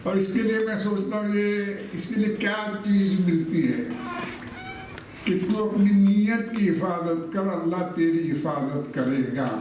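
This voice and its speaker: A hoarse man in his eighties speaks slowly and thoughtfully from a stage, his voice sitting high for his age. The sound bounces around a large, very echoey hall, and he stands well back from the mic. A faint room hum runs underneath. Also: a little mellow, recorded from a distance. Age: 80s. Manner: slow, measured, reflective. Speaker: trust founder giving a speech. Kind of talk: formal stage speech.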